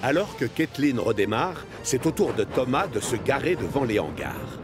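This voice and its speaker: A man's voice: medium pitch, big pitch swings, normal speed. A man narrates a children's cartoon in a scripted storytelling style, with a mid-range voice. Music plays behind him.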